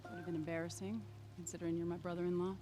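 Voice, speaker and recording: A woman acting a tough, protective settlement leader in a game cutscene. She fires her words out very fast, bluntly and without nonsense. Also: on the low side, flat delivery, music in the background, lightly acted. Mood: wary, dry.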